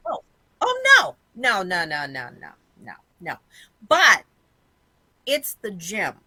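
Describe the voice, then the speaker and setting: Guest type: fifties woman, bright, candid rambling talker, dry recording. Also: mid-range voice, wildly swooping pitch, slow, slightly rough, no echo. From Massachusetts, USA. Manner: blunt, chatty, rambling with many ums. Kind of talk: candid casual interview chat.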